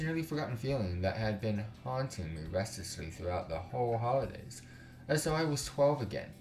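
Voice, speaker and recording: A man's voice: on the low side, a little mellow. A man reading a visual novel aloud as a gaming YouTuber, breaking off for slangy, sweary, incredulous asides. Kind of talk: visual novel read-aloud with casual commentary. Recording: roomy echo, distant mic, music behind. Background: music.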